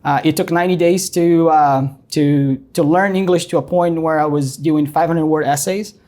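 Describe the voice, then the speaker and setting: A man's voice: fairly high pitch, steady tone, normal speed, darkish tone. A middle-aged man from Brazil with a Brazilian Portuguese accent, a guest in an interview, telling his story earnestly with many ums. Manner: frequent ums and uhs, earnest.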